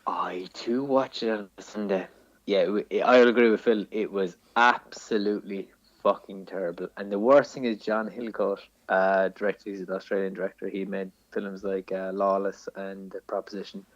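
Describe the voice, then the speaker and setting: A man's voice: low-pitched, steady tone, normal speed, dry recording, highs cut off. An Irish man in casual film-review chat as a podcast co-host, opinionated and sweary.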